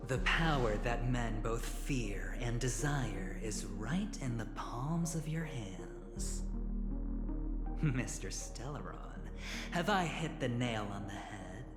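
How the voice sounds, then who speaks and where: A suave, scheming young male gambler from a video game, speaks slowly in a fairly low register. He is teasing and theatrical, stretching his phrases. His voice rings with reverb over clear background music. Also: expressive. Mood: coolly confident. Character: smooth, scheming young male high-stakes gambler and corporate negotiator. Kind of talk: video game cutscene voice acting. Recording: roomy reverberant sound under loud music.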